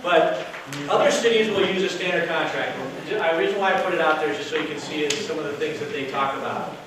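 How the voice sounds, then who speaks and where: A man giving a loud, casual workshop talk to an audience as a hotel sales director, with no microphone. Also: a little high, quick pace, crowd noise. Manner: casual, chatty, with fillers. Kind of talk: workshop presentation to a live audience. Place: meeting room with an audience. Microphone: distant camera, no microphone. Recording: very echoey room from far off with noise.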